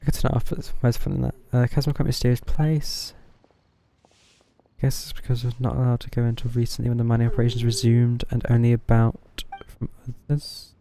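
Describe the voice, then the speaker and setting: A man's voice: mid-range voice, flat delivery, brisk, vocal fry, mellow, slight background noise. A man streaming a video game with casual live commentary, reading dialogue aloud and mumbling through parts of it. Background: game music.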